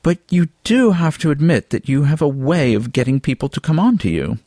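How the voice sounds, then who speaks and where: A man's voice: a little high, very animated, fairly quick, mellow, no room sound, studio quality, voice pushed up. A man voicing a teasing young male skunk in an audiobook, casual and wry. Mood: wry, needling. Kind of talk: audiobook character dialogue.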